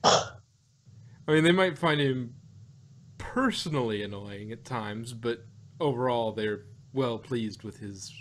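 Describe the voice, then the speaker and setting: Man chatting casually, halting delivery. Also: medium pitch. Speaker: podcast co-host reviewing audio dramas. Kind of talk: casual chat.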